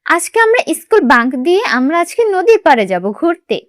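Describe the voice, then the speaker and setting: A woman's voice: very high-pitched, big pitch swings, a little fast, dry recording, clean studio sound, voice pushed up. A woman voicing a restless, fibbing young schoolgirl in a moral cartoon. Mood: scheming.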